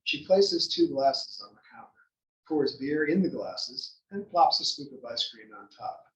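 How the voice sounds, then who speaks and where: A man's voice: medium pitch, expressive, a little slow, a bit bright. A man narrating stage directions at a play's table read. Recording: dull, echoey room, distant mic.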